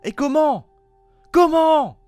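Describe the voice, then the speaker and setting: A man's voice: very high voice, expressive, slow, darkish tone, dry recording, pitched above the performer's own voice, heavily acted. A man voicing an insistent, clever young woman who questions impatiently, in an amateur fandub. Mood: incredulous. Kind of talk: amateur French fandub acting. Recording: home dub over background music.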